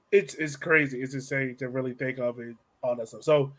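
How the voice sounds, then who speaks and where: A man's voice: medium pitch, moderate pace, fairly bright tone. A man hosting a casual sports podcast, putting questions to a guest. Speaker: podcast host.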